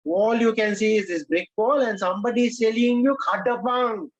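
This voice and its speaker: A man from Sri Lanka who speaks English as a second language, leading a speech-writing workshop with animated emphasis and frequent direct address to his audience. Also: high voice, normal speed, dry recording, highs cut off. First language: Sinhala or Tamil. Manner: animated, emphatic, repeats "my dear friends". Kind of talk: online workshop lecture.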